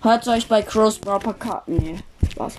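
A woman's voice: mid-range voice, measured pace, darkish tone, slight background noise. A young woman co-hosting a casual podcast, cheeky and playful, joking while explaining a card game. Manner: cheeky, playful, jokes a lot. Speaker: podcast co-host. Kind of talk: casual podcast chat while playing cards.

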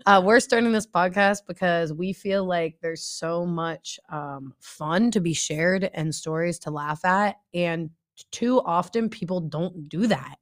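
A woman's voice: lowish voice, unhurried. A woman in her twenties, a youth pastor co-hosting a podcast in easy slangy chat with many fillers. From Northeast Ohio. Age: 20s. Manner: laid-back slangy talk, many fillers. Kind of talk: casual chat.

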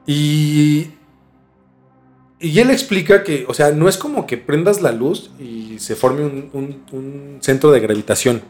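A man with a Mexican accent in a casual, colloquial podcast conversation, explaining a story in a halting, conversational way. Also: on the high side, a little mellow. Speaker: podcast host telling a case. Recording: music under the voice. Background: background music.

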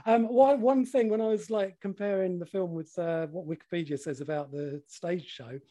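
A man in his forties with a slightly croaky voice, co-hosting a casual podcast chat, laughing often and swearing freely. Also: high voice, moderate pace, warm tone. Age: 40s. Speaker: podcast co-host.

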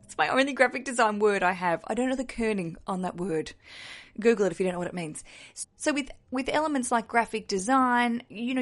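An Australian woman hosting a podcast alone, chatty, jokey and candid. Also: mid-range voice. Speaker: podcast host. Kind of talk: solo podcast chat.

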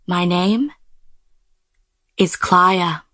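A woman voicing a calmly menacing artificial intelligence in a radio drama, with measured, announcing delivery. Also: fairly low pitch, music in the background. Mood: calm, controlling. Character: all-seeing office software, calmly menacing female artificial intelligence.

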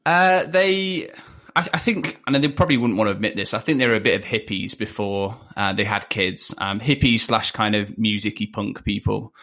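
A man's voice: on the low side, moderate pace, sharp tone. A man in his twenties with a Leicester accent, a podcast guest chatting casually from home and rambling with lots of ums and wry asides. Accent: Leicester English. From England. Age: 20s. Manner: rambling, full of ums and self-deprecating asides. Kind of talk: casual interview chat. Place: home, remote call.

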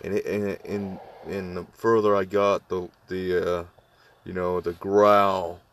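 A man with a deep voice telling a spooky personal story to friends, halting with many fillers and restarts. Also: level tone, far from the mic, a little hiss or hum. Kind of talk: casual ghost story among friends.

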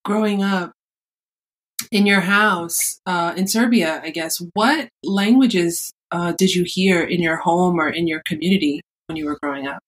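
A woman's voice: fairly low pitch, unhurried, rough recording. A woman hosting a podcast, chatting casually and laughing along with her guest. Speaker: podcast host. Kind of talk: casual interview chat.

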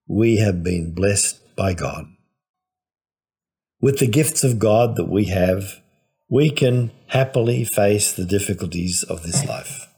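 Slow husky priest giving a reflection. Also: male voice, on the low side, a little mellow. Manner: measured, lecturing explanations. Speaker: priest and biblical scholar. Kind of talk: reflective homily.

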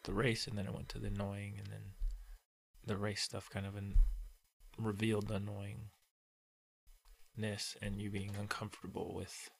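A man co-hosting a casual faith conversation, gently prompting with questions. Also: on the low side, vocal fry. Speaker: podcast co-host.

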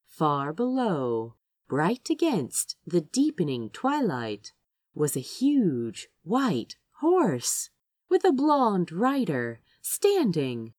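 Slow, highly animated woman storyteller. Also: no room sound. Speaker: fan fiction reader, narrator. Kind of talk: expressive storybook narration.

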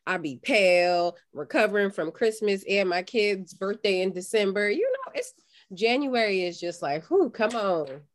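A woman in her thirties co-hosts a relaxed chat podcast. Her voice sits in the middle but moves a lot, rising and falling as she talks. Her banter is slangy and informal. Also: medium pitch, normal speed. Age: 30s. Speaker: podcast co-host.

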